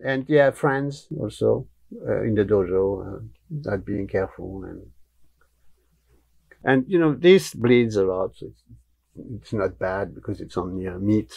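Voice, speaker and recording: An older man with a French accent, telling stories in a relaxed interview in a small studio room, blunt and animated, on a lapel mic. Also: on the low side, measured pace, vocal fry, slightly rough, rough recording. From France. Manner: blunt, animated, gestures with hands while talking. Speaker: guest, martial arts teacher. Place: small studio room with wooden cabinets, indoors.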